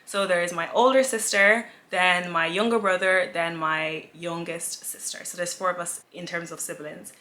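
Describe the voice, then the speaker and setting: Bubbly young woman with a bright voice. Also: lowish voice, moderate pace, few pauses. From Nigeria. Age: 20s. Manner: chatty, giggly, animated. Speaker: influencer, vlogger. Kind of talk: casual sit-down Q&A chat.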